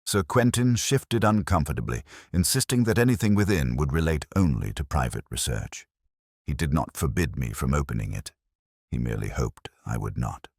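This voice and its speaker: A man performs a thoughtful, literate detective narrating an audio drama in an exceptionally deep voice. The delivery is reflective and formal, yet moves expressively in pitch. The sound is dry, with no room around it. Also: animated, moderate pace, a little mellow, breathy edge, no echo, full character voice. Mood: wry, watchful. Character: brooding, literate male police inspector recounting a case.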